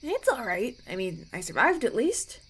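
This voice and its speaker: Gentle young prince, played by a woman, hesitant and grateful. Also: a little high, sing-song, normal speed, breathy edge, exaggerated voice, rough recording. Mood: weary, appreciative. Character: kind, grateful young runaway human prince. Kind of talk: roleplay audio acting.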